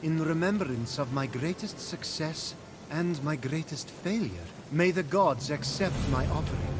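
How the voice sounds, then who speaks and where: An actor playing an earnest, wide-eyed young mortal hero in a video game, sincere and expressive. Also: male voice, high-pitched. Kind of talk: dramatic video game character acting.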